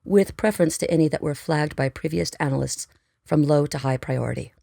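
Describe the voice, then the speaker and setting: A weary, businesslike female analyst played by a woman in an audio drama. Her voice is very low and gravelly with a dark softness. She keeps it clipped and professional. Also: brisk, no echo, studio quality. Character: female pony analyst, weary, businesslike, reviewing surveillance logs.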